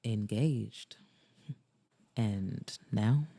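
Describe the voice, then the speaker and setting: A woman voicing a flirtatious, softly sincere man in an audiobook romance, slow and lowered. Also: gravelly, no room sound, full character voice. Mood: thoughtful.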